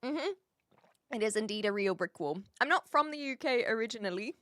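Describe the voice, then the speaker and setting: A woman's voice: a little high, lively intonation, a little fast, a bit bright, no echo, studio quality. Chatty streamer woman, blended British-leaning accent. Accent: blended New Zealand and British-leaning. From New Zealand. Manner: chatty, rambling, laughs often, answers chat directly. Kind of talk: casual live stream chat reading viewer messages.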